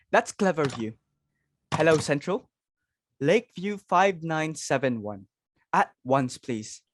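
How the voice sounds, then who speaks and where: A woman performs a social-climbing mother and hostess in a stage play. She is fussy and imperious, forever exclaiming. Her pitch leaps about in a very dry recording. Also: dry recording. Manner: exclamatory, fretful, commanding.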